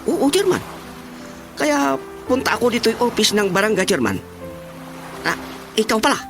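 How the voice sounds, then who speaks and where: A man's voice: high-pitched, sing-song, normal speed, gravelly, a bit bright, faint music behind, heavily acted. A man playing a muddled Indian moneylender in a radio comedy, speaking in broken, pidgin-like phrases. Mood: complaining, puzzled. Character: muddled Indian moneylender complaining about a runaway debtor. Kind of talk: radio comedy drama acting.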